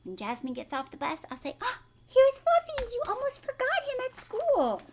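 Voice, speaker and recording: Woman as helpful, high-voiced lady bus driver. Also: wildly swooping pitch, normal speed, a little hiss or hum, exaggerated voice. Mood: cheerful. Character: helpful adult female school bus driver.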